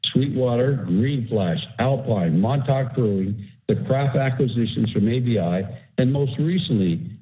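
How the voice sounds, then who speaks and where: A man in the role of a company executive, formally reading prepared remarks in a scripted earnings presentation. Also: medium pitch. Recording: phone-like conference call webcast audio.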